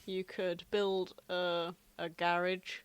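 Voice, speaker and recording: A woman's voice: fairly low pitch, flat delivery, slow pace, smooth, no echo. A woman co-hosting a podcast in casual, wry comedic chat. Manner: wry, jokey. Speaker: podcast co-host.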